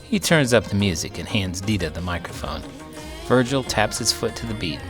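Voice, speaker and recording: Expressive low-voiced American man reading stories. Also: normal speed. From Iowa, United States. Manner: animated, theatrical. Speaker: children's book read-aloud storyteller and teacher. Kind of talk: expressive children's storybook narration with commentary. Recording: music playing behind the voice.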